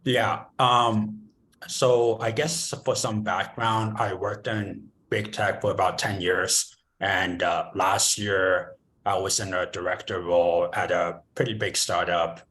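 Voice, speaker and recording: A man speaking as a panelist on a video call, relaxed and a bit hesitant. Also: deep voice, measured pace. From born in China, raised in the US. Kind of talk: casual conversation.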